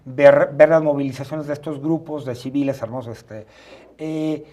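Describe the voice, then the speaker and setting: A Mexican man co-hosting a studio discussion, speaking haltingly and often checking for agreement at the ends of phrases. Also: fairly high pitch, fairly even intonation, warm tone. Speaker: talk show co-host and free-speech advocate. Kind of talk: studio discussion, spontaneous commentary. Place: internet TV studio.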